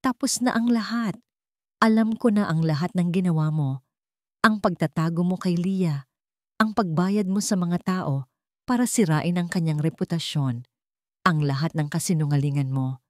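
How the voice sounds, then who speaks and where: A woman's voice: fairly low pitch, normal speed, darkish tone, no echo, studio quality, natural voice. A woman voicing a protective, determined male CEO in a dramatic storybook, solemn and emphatic. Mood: accusing.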